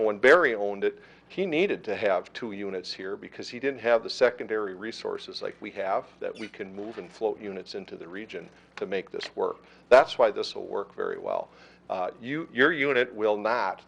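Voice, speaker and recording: A middle-aged man who runs an ambulance service, answering questions at a council meeting in a steady, reassuring way with frequent fillers. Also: on the low side, gravelly.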